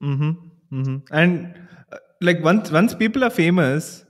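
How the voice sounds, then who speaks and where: A man's voice: on the high side, animated, slow pace. A man with an Indian accent hosting a casual podcast conversation, chatty and given to linked asides. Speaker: podcast host.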